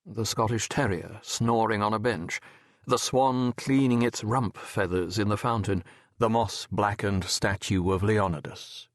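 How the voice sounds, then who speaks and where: A man narrating an audiobook as a wry, reflective French medical professor telling his memoir in a measured, erudite, wistful way. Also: fairly low pitch, steady tone, no echo, clean studio sound, close to an everyday voice. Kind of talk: scripted first-person literary narration.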